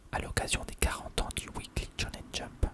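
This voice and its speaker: Whispered ASMR narrator reading in French. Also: moderate pace. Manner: unvoiced whispering throughout.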